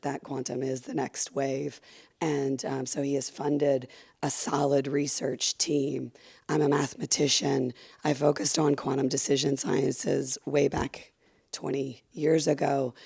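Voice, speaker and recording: A woman giving a conference talk while losing her voice, hoarse and gravelly, with many fillers and restarts, speaking into a handheld microphone. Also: warm tone. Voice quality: gravelly, low. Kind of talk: conference presentation. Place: conference room with audience.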